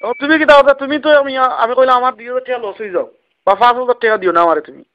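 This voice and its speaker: High-pitched Sylheti man playing an aggrieved cattle buyer. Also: animated, rapid-fire. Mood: complaining, pleading. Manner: insistent, complaining, repetitive. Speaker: prank caller. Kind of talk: comic prank phone call. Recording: muffled lo-fi phone call recording.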